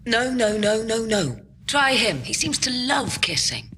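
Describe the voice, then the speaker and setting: A woman's voice: fairly high pitch, big pitch swings, measured pace, sharp tone, heavily acted. An actress playing a woman who firmly fends off suitors in a science fiction television drama, in a clip with background noise. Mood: firm, dismissive. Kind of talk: scripted television drama acting.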